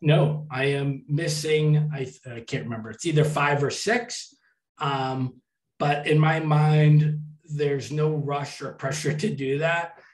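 A man's voice: fairly high pitch, slow. A man in his fifties from the United States, talking casually about travel on a video call from a small room at home. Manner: relaxed, matter-of-fact explaining with lists. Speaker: guest, travel podcaster. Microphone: webcam on a video call. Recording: roomy, distant webcam audio.

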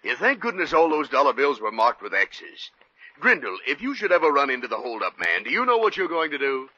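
A gruff police inspector who bosses everyone about in an old radio comedy. His voice is forceful and very animated. It comes through a muffled vintage broadcast. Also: medium pitch, fairly quick, exaggerated voice. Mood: brisk, questioning. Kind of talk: 1940s radio comedy acting.

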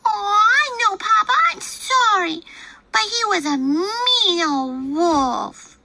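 A squeaky excitable little chicken girl. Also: takes it slowly, vocal fry, full character voice. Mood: pleading. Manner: high childlike voice, pleading and bursting in. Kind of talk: read-aloud of a picture book.

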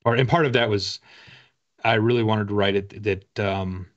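A man in his forties chatting casually as an interview guest, halting and wry in a self-deprecating way. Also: on the low side, fairly even intonation. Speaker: guest, author. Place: indoor home room with bookshelves. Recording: dull, lo-fi video call audio.

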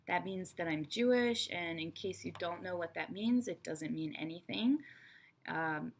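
Casting: woman, 30s, chatty teacher voice, lower pitch, unhurried delivery, webcam in a small room. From Israel and America. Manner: chatty, frank, teacherly asides. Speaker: English teacher. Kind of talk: online English lesson to camera. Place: small bright room indoors. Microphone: webcam at desk.

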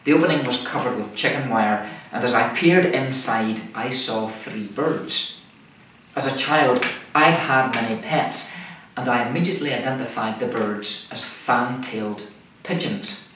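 A man's voice: medium pitch, fairly bright tone. Echoey, middle-aged male preacher. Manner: measured, deliberate delivery in short phrases. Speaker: minister preaching. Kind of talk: scripted sermon. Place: indoors, small room, seated at a table. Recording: echoey room, far microphone, faint noise.

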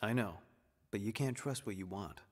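A man's voice: fairly low pitch, moderate pace, lightly acted. An actor playing an earnest, tender man who is newly moved by his faith, in an audio drama. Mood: gently advising. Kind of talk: radio drama acting.

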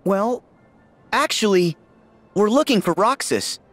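An actor playing a cheerful, earnest, good-hearted teenage boy hero in an animated video game. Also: medium pitch, slow, no room sound, faint music behind.

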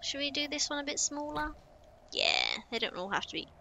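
A woman's voice: sits high, lively intonation, a little fast, creaky, sharp tone, slight background noise. A young woman, chatting casually to herself while playing a building game on stream. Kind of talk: casual gameplay commentary.

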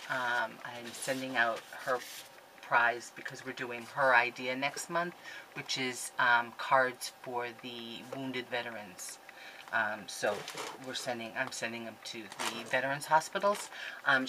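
Woman, 50s, low-pitched, excitable and rambling; home webcam sound with clear background noise. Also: unhurried. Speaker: scrapbooking vlogger. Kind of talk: casual chatty haul video. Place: craft room at home, indoors. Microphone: webcam at desk.

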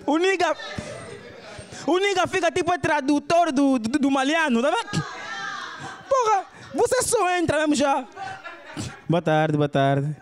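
A man's voice: extremely high pitch, big pitch swings, a little slow, pauses often. A young man with an Angolan Portuguese accent doing stand-up storytelling, acting out characters on a club stage. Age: young adult. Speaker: stand-up comedian. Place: comedy club stage with audience. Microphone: handheld microphone.

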